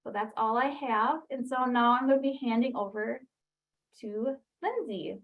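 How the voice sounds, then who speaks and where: Woman hosting a zoom webinar, kinda high voice, brisk, lots of ums. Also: phone-quality sound. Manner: brisk, many ums and restarts. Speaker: webinar host for a training program. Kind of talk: webinar introduction. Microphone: computer mic on a video call.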